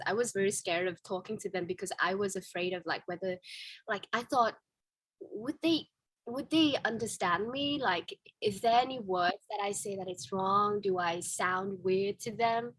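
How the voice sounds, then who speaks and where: A young woman with a Vietnamese accent speaks English as a second language and tells her story in a relaxed chat. Her pitch sits in the middle, and she often restarts her sentences with fillers. The sound is dry and close, with no room echo. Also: normal speed. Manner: candid, many fillers and restarts, laughs easily. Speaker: guest. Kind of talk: casual interview chat.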